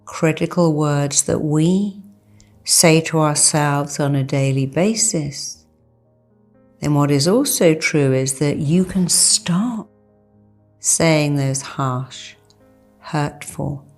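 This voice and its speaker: A woman with a low, gravelly voice leads a guided meditation. She takes her time, repeating key phrases with deliberate, reassuring emphasis, like a therapist instructing a client. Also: a little mellow, faint music behind. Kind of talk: guided meditation, soothing hypnotherapy-style instruction.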